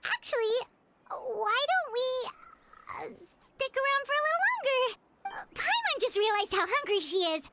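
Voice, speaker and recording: A woman voicing a small, childlike fairy companion in a video game, with a squeaky voice and a hesitant, exclamatory manner. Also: big pitch swings, normal speed, very noisy, exaggerated voice. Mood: sheepish, pleading. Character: small, childlike floating fairy companion, female, food-loving and suspicious. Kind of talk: animated video game voice acting.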